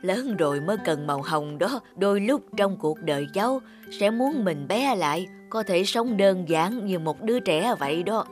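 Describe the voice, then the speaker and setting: An actor playing a calm, kindly old balloon seller in an animated drama, unhurried and philosophical. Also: male voice, high-pitched. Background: background music.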